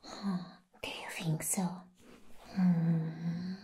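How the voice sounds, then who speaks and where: A young woman playing a mad, playful villainess in a breathy, whispered ASMR roleplay, teasing and menacing. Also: on the low side, little pitch movement, very slow, mellow, a little hiss or hum. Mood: mad, conspiratorial. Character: mad, playful female comic-book villain, giddy and menacing.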